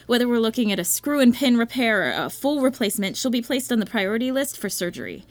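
A woman's voice: a little high, expressive, fast talker, no room sound, close to an everyday voice. A woman acting as a kind, slightly awkward, practical hospital staffer in an audio drama, reassuring and prone to stammering. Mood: careful, explaining.

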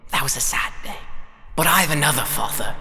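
An actor playing a rambling small-town pastor who wanders off topic at a funeral, dropping into whispers and rising again. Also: male voice, fairly high pitch, lively intonation, a little fast, exaggerated voice. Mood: rambling earnest. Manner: meandering, swings between loud and whispered. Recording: reverberant hall with music underneath.

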